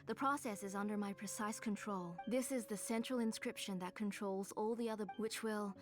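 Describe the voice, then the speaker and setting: A woman voicing a gentle, devoted but ailing mother in a video game, speaking earnestly and with measure over music. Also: mid-range voice, steady tone, normal speed, lightly acted. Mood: earnest, resolved.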